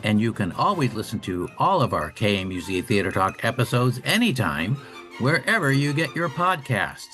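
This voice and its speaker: A man's voice: mid-range voice, big pitch swings, moderate pace, bright, forceful tone, soft background music. A man hosting a radio show, interviewing guests and reading announcements.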